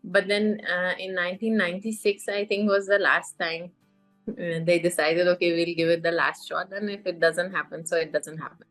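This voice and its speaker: A woman's voice: lowish voice, fairly even intonation, moderate pace, a bit bright, soft background music. A middle-aged woman from Iran, a life coach, telling her story in a podcast interview with many fillers and restarts.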